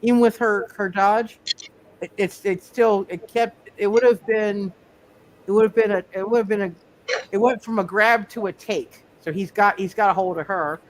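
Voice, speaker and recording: Halting game master with noisy background. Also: mid-range voice, fairly even intonation. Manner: halting, repeats words and phrases. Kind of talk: running a live tabletop superhero game. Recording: noticeable background noise.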